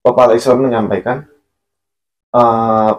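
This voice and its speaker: A man's voice: medium pitch, little pitch movement, takes it slowly, muffled recording. A man talking in a casual religious chat, blunt and preachy, laughing often. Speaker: content creator.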